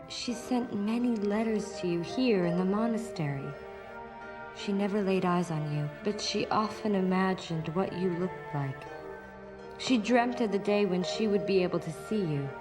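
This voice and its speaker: An actress playing a calm, compassionate woman in a video game, speaking gently and solemnly. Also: mid-range voice, lightly acted. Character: calm, compassionate woman consoling a restless spirit. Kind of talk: dramatic video game dialogue.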